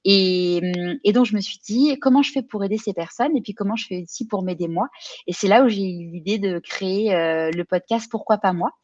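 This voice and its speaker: A woman's voice: mid-range voice, a little mellow, no room sound, highs cut off. Hesitant Parisian woman, dry close sound. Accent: French from France. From Paris, France. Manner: hesitant, pausing between short phrases. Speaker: podcast guest, career coach and entrepreneur. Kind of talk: personal account in a conversational interview.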